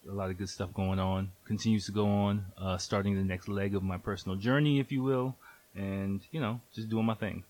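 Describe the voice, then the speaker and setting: Male podcast host, thirties, very deep voice, rambling and loose, swears now and then. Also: steady tone, a little fast, darkish tone. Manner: rambling, loose, sprinkled with fillers and mild swearing. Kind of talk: casual solo podcast chat. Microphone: headphones on, home podcast mic.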